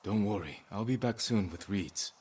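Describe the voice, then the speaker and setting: A man acting as a stoic, determined samurai lord in a video game drama, speaking in a terse, solemn and measured way. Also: fairly low pitch, a little mellow, a little hiss or hum, natural voice. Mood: softly reassuring. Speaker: game character voice actor.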